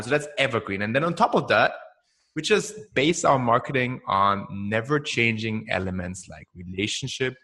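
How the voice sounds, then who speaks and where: A young man from Austria whose first language is German, speaking as a podcast guest in a chatty, energetic way and often tagging "right?" onto his points. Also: mid-range voice. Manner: energetic, chatty, frequent "right?" tags and laughs. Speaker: guest, marketing founder. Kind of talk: casual podcast interview.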